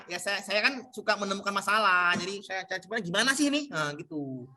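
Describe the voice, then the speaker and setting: Indonesian guy tutor, bright sharp voice, chatty and informal, keeps repeating stuff to calm the class down. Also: high voice, fast. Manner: informal, reassuring, repeats himself. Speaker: online exam-prep tutor and schoolteacher. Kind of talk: casual live online tutoring. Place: at a school, nearly alone.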